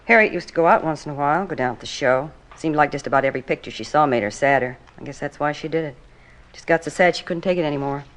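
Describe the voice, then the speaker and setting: Brusque, fast-talking apartment manager played by an actress. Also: female voice, low voice, lightly acted. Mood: confiding. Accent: American. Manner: blunt, gossipy, folksy clipped grammar. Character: brusque, defensive, talkative apartment manager woman with children. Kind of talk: 1950s radio drama acting. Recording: vintage 1950s radio recording.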